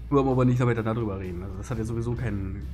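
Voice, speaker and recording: A man's voice: on the low side, steady tone, very fast, a little mellow, soft background music. Rambling man narrating a truck game. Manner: chatty, filler-heavy, self-deprecating. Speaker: let's play host. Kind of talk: rambling gameplay commentary.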